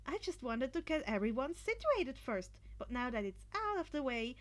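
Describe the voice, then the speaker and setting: A woman's voice: high voice, sing-song, normal speed. A woman voicing an earnest, upbeat teenage boy who plans carefully, in an audiobook of fan fiction. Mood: businesslike. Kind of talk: acted fan fiction dialogue.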